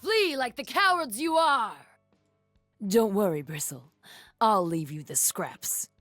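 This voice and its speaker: A woman's voice: fairly high pitch, expressive, takes it slowly, a bit bright, no echo, clean studio sound, soft background music. An actress voicing a fierce, stern female war chief of the frozen north in video game lines, commanding and deadly serious. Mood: menacing.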